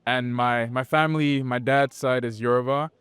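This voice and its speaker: A man from Nigeria, a student, giving relaxed, conversational interview answers. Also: medium pitch, moderate pace, clear, resonant tone, no room sound.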